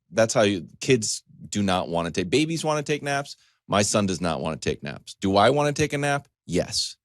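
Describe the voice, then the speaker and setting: A man in his forties, a sports talk host, telling a comedic story in a casual, animated way with choppy asides and acted-out quotes. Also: deep voice, no room sound, studio quality. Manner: animated, acts out quotes in dialogue.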